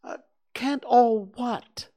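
A man voicing a kindly, simple-minded male teddy bear in a high, bright, innocent voice. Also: very slow, exaggerated voice. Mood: cheerful.

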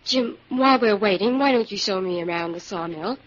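An actress playing an eager, chatty detective's sidekick in an old-time radio adventure. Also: female voice, mid-range voice, brisk, lightly acted. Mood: curious, chatty. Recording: dull, faintly hissy old radio recording.

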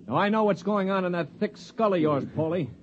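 An actor playing a cynical, sardonic spacer in a 1950s radio drama, with a dry, commanding delivery. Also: male voice, high-pitched, fairly quick, a little hiss or hum, close to an everyday voice. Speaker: narrator and lead. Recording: dull old radio broadcast.

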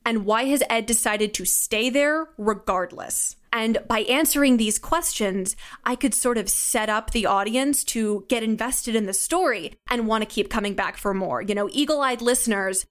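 A young woman in her late teens with a fairly high voice, speaking as a guest in a casual interview. She is chatty and candid, with a self-deprecating streak. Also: normal speed, few pauses, a bit bright. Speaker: guest, audio drama writer and sound designer.

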